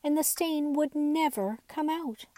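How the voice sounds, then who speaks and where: A woman's voice: sits high, a little slow, mellow, no room sound, voice pushed up. A woman voicing a fussy, resigned female doll in a children's storybook, matter-of-fact in manner.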